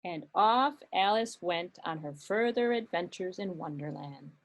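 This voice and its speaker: A woman narrating a children's puppet show story as a librarian, gentle and clear. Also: on the low side, animated, a little slow, poor audio.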